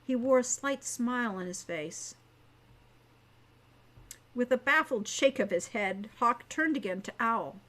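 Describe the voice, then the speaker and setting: A woman's voice: a little high, animated, measured pace, pauses often, a bit bright. A woman reading a scripted fantasy story as an audiobook narrator. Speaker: author reading own audiobook.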